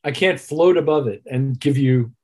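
A man with a husky voice talking thoughtfully and articulately as a musician being interviewed. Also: medium pitch, normal speed, mellow. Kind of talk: reflective conversational interview.

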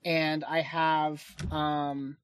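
A woman's voice: deep voice, level tone, very slow, clean tone. A woman co-hosting a podcast in casual chat, rambling with lots of "like"s and laughing often. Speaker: podcast co-host and editor. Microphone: home podcast mic.

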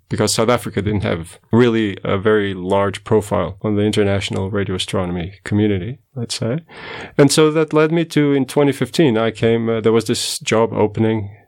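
A middle-aged man from Portugal with a Portuguese accent, giving measured, explanatory answers as a guest scientist in an interview. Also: on the low side, darkish tone. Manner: measured, explanatory, self-correcting.

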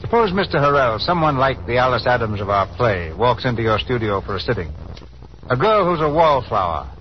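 Old time radio host guy, formal scripted oratory, mid pitch, muffled 1930s sound. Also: very animated, fairly quick. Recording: muffled 1930s radio broadcast, faint noise.

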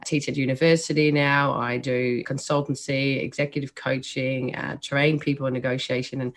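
A woman's voice: a bass voice, level tone, moderate pace. A woman from the Netherlands with a Dutch accent, a mediator answering interview questions in a candid, chatty way. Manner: candid, chatty, self-deprecating. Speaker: mediator and trainer.

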